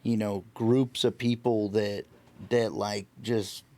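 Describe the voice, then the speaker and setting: A man's voice: lowish voice, little pitch movement, takes it slowly, warm tone. Slangy young man in casual podcast chat. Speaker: podcast panelist.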